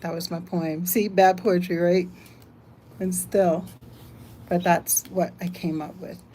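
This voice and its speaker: An older woman from the United States hosting a casual live stream, chatting, reading comments aloud and thinking out loud. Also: on the low side, flat delivery, slow pace, a little hiss or hum. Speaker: livestream host.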